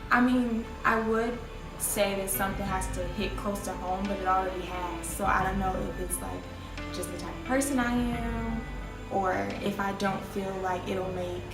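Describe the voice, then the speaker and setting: A woman's voice: medium pitch, steady tone, moderate pace. A young woman interviewed for a student documentary, giving tentative, unsure answers. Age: young adult. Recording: distant mic, echo, music bed.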